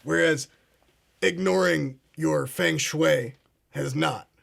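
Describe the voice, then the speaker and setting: A man's voice: on the high side, slow pace, creaky, exaggerated voice. An actor playing a pompous, paranoid male conspiracy talk show host who speaks in a grandiose, ominous way. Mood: grave. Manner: grandiose, ominous, addresses "ladies and gentlemen". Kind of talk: comedic mock talk show.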